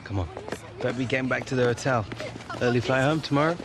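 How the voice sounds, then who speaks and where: An actor playing a laid-back, dreamy young British footballer in naturalistic video game cutscene dialogue. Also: male voice, medium pitch, fast, noisy background, close to an everyday voice. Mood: easygoing.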